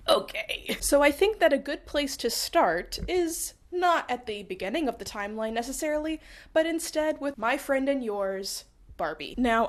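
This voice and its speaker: A young woman with a stuffed-up voice from a cold, explaining history in a rambling, self-deprecating way, full of wry asides, in her small apartment. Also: on the high side. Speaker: history video host.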